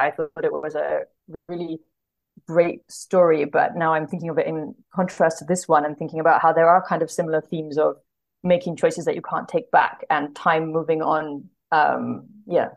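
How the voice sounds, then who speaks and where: A woman speaking casually as a podcast guest in an interview, thoughtful and halting as she works out her phrasing. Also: sits low, gravelly. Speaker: podcast guest, literary translator.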